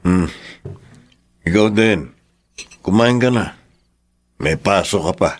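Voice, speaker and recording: A man with a Filipino accent acting a weary middle-aged father and older brother in a Tagalog radio drama, gruff and offhand. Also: low-pitched, slow pace. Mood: subdued.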